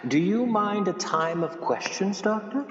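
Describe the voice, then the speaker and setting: A man's voice: high-pitched, expressive, measured pace. An actor playing a formal, conciliatory chairman of a 1920s learned society in a radio play. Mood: amused, placating. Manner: mediating, formal. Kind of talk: stage-style radio play acting. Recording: dull, echoey hall, far from microphone.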